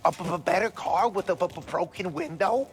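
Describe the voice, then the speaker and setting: A man's voice: sits high, brisk, a little hiss or hum, voice pushed up, natural voice. An actor playing a flustered gangland car keeper who stutters on his words, in a video game. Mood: flustered, reproachful. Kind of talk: cinematic video game voice acting. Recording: loud music behind the voice.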